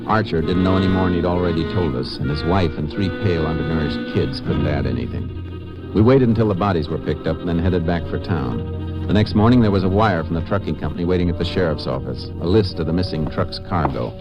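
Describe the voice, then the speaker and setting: An actor playing a sharp, methodical lawman with a terse, deadpan, businesslike manner in a 1950s radio drama. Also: low voice, a little fast, loud music behind the voice. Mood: measured narration. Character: sharp, methodical male lawman investigating a truck hijacking. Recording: muffled, noisy vintage radio recording.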